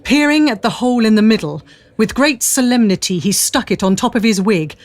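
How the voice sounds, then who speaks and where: A woman's voice: fairly high pitch, lively intonation, brisk. A woman giving a dramatic scripted narration of a period adventure story. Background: faint noise and ambience.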